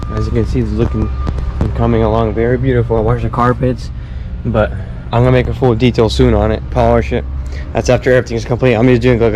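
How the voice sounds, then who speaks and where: A man vlogging while rebuilding his car, his voice raspy from feeling unwell, chatty and encouraging with halting phrasing. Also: medium pitch, low-quality recording. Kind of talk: casual talk while working on a car. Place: outdoors and in a garage by the car.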